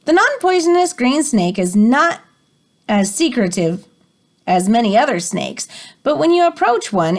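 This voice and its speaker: A woman reading a picture book aloud like a teacher, expressively and with dramatic emphasis, adding short explanatory asides. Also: fairly high pitch.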